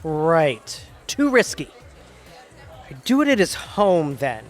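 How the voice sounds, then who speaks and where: A man's voice: on the high side, big pitch swings, slow pace, soft background music. A man playing an overconfident, easily flustered lawyer in an audio drama, defensive and hesitant. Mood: boastful.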